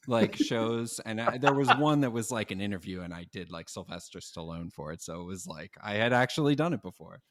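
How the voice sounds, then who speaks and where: A man's voice: sits low, a bit bright, no room sound. A man speaking casually as an interviewed voice actor, hesitant and self-deprecating. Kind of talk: casual chat.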